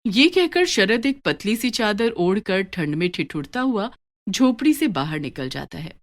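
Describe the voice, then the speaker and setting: A woman narrating a scripted moral story for children in an expressive storyteller manner. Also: mid-range voice, measured pace.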